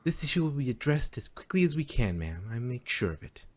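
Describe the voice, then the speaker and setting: A man's voice: mid-range voice, sing-song, fairly quick, darkish tone. A man voicing a flustered young pony princess, regal but anxious and sardonic, in an amateur fan fiction reading. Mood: nervous formality.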